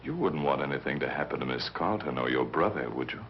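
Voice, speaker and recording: An actor playing a cold, menacing villain commander in a 1940s film serial, speaking in measured, formal threats. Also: male voice, extremely low pitch, animated. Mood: smugly menacing. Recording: noisy, muffled old film soundtrack.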